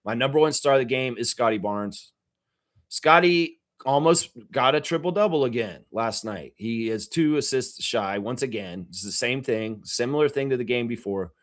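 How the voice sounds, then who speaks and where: A man hosting a fan reaction show, raspy from yelling at a game, ranting emphatically with swearing and repeated phrases. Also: mid-range voice, lively intonation, moderate pace. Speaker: fan channel host. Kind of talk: fired-up fan reaction rant.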